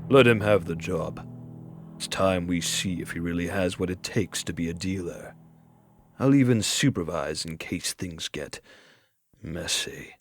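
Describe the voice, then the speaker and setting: An actor playing a commanding old male guide of the dead with a gravelly voice, in an audio drama. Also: low-pitched, measured pace. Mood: grave, measured. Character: commanding old male psychopomp in dragging rags.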